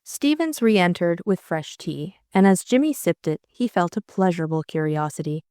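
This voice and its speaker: A woman's voice: lowish voice, animated, normal speed, dark tone, clear, resonant tone, dry recording, clean studio sound. A woman reading scripted story narration as an audiobook narrator.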